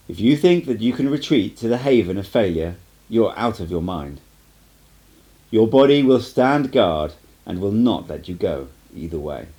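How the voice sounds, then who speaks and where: A man voicing a stern, cryptic old sorcerer teacher in an audiobook, lecturing in a measured, emphatic way. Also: on the low side, warm tone, low-quality recording. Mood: firm, ominous. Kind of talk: amateur audiobook character reading.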